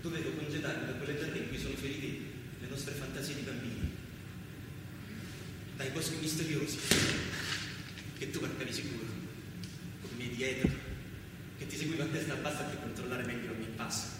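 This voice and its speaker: An actor playing an anguished biblical brother in a radio play, speaking intense, lyrical lines with insistent repetition. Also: male voice, medium pitch, steady tone, normal speed, pauses often, lightly acted. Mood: wistful. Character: anguished biblical brother, a toiling man of the land. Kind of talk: poetic theatrical radio drama. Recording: very echoey, distant, noisy hall.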